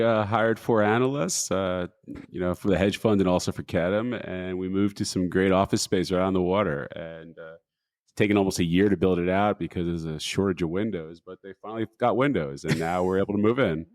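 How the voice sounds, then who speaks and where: A man who is a hedge fund manager, guest on a podcast, talking in a relaxed, casual way with frequent fillers and easy laughs. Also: deep voice, brisk, no echo, studio quality. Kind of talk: casual market chat.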